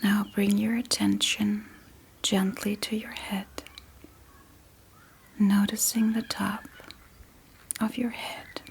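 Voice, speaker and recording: A woman softly guiding a sleep meditation in a breathy ASMR whisper, soothing and gentle, with long pauses between short phrases. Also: mid-range voice, flat delivery, slight background noise. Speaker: meditation guide.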